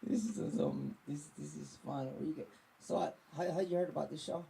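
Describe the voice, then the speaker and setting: A man from Hawaii performing a stand-up comedy set in a club, loud and playful and laughing at his own jokes. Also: a little high, steady tone, fairly quick, gravelly, low-quality recording. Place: comedy club stage with audience. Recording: live club with crowd noise.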